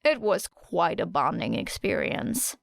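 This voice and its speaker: A woman voicing a dry, teasing, observant teenage boy with an unhurried, muttering manner in an audiobook. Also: on the low side, very animated, vocal fry, dry recording, pitched below the performer's own voice, heavily acted. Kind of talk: audiobook character voice.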